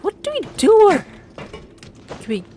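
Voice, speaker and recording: Slangy male gamer with lively pitch. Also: slow. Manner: slangy, exclamatory, stumbles when reading aloud. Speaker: gaming video host. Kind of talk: casual gameplay commentary with read-outs. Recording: faint game music behind the voice.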